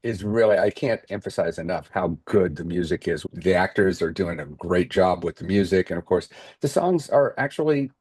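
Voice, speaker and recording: Male interview guest, husky lowish voice, dry close sound, thoughtful hesitant delivery. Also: animated, darkish tone, dry recording. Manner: halting, thoughtful, searching for words. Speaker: theater maker, interview guest. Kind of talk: casual interview talk.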